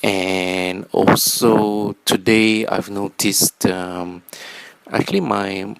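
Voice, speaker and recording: Slow, gravelly young man vlogging. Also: fairly low pitch, flat delivery, poor audio. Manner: halting, many ums and restarts. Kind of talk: casual vlog monologue.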